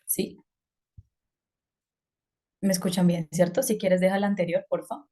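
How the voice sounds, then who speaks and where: A woman's voice: on the low side, little pitch movement, unhurried, warm tone. A woman from Colombia giving an online talk, speaking conversationally with fillers and hesitations. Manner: conversational, hesitant with fillers, asks about slides.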